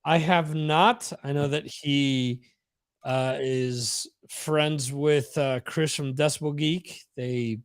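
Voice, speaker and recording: A man co-hosting a casual chat, answering slowly and hesitantly. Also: on the high side, fairly even intonation, smooth. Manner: slow, hesitant, measured.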